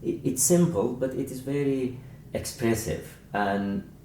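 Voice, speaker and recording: A man's voice: medium pitch, a little slow, warm tone. A man who is not a native English speaker, discussing books informally and searching for his words. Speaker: author discussing a book. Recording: echoey room, distant mic, faint noise.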